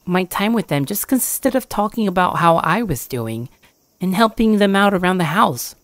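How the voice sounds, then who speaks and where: A woman's voice: mid-range voice, expressive, moderate pace, dry recording. A woman giving a scripted first-person horror story narration.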